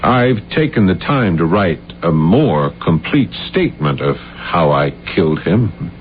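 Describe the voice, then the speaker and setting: An actor playing an unstable, deluded man in an old-time radio drama, halting, stammering and repeating his words. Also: deep voice, sing-song. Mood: eager, rambling. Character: unstable, deluded playwright who insists he killed his uncle. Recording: muffled, hissy 1970s radio recording.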